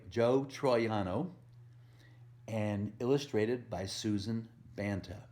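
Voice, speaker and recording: A man's voice: mid-range voice, warm tone. A man reading a picture book aloud to preschoolers as a volunteer storyteller, stressing the rhymes and adding simple asides and questions for the young listeners. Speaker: volunteer children's story reader.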